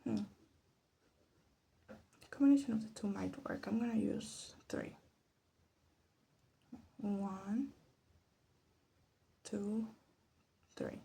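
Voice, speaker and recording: A woman from Latin America gives soft-spoken ASMR commentary, often slipping into a whisper, in a hesitant, musing, self-deprecating way. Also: medium pitch, drawn-out words, creaky, long pauses, husky. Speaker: ASMR video host. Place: bedroom. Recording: faint background noise, clicking sounds.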